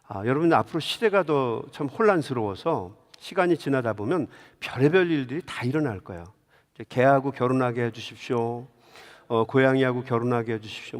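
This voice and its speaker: A man preaching a sermon as a pastor, telling stories and putting rhetorical questions directly to his congregation in a church. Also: mid-range voice. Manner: engages listeners with direct questions. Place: large indoor church sanctuary. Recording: long hall reverb of a church.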